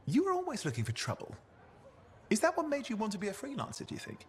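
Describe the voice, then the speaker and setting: An actor playing a playful, cheeky young freelance partner in a video game, with a jokey, teasing manner. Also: male voice, high-pitched, wildly swooping pitch, fast talker. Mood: amused, cheeky.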